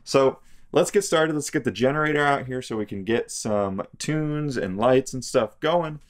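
A man's voice: mid-range voice, normal speed. A young man chatting casually as a vlog host while filming himself inside an empty RV he is painting. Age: young adult. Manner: chatty, upbeat, self-filming. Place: inside a gutted RV, hard bare walls. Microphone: camera held at arm's length. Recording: music playing in the background.

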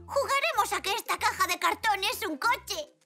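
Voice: extremely high pitch, expressive, moderate pace, bright, forceful tone, soft background music, heavily acted. A performer voicing a curious, imaginative young zebra boy in a cartoon, eager and playful. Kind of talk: cartoon dub acting.

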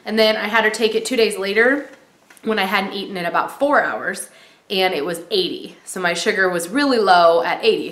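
A woman in her thirties giving a casual vlog update, chatty with many ums and likes, a little stuffy from a cold and clearing her throat. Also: medium pitch, fairly bright tone. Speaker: vlogger. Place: small room indoors. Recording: roomy echo.

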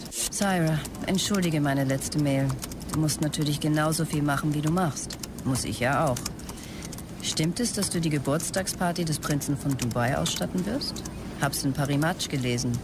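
An actor playing a busy start-up boss who dictates emails in clipped phrases, in an audio drama. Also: male voice, fairly high pitch, noisy background.

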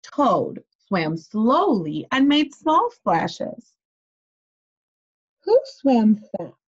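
A young woman, a teacher reading a children's story aloud in an animated way and asking her listeners questions. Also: on the high side, drawn-out words, breathy edge. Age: young adult. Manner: animated, expressive. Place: small bedroom, indoors. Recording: slightly dull webcam audio.

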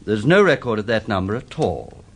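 Husky, authoritative English police chief. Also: male voice, on the low side, very animated, moderate pace. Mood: businesslike. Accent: English Received Pronunciation. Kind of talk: British radio detective drama acting.

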